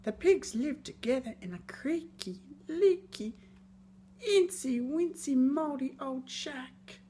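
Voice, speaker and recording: A woman reading a scripted children's story in a theatrical, expressive way, lingering on words. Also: high voice, slow pace, slight background noise.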